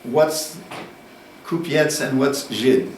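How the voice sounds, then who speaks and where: A man giving a university lecture, deliberate and unhurried, posing questions and then answering them. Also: mid-range voice, darkish tone, a little hiss or hum. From United States. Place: lecture room. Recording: echoey room, distant mic, faint ambience.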